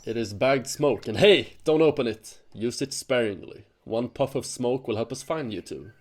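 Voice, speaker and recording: A man's voice: medium pitch, lively intonation, moderate pace, close to an everyday voice. A man as a wry winged hunter. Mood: matter-of-fact. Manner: wry, chiding. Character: dry, bossy winged male sea hunter.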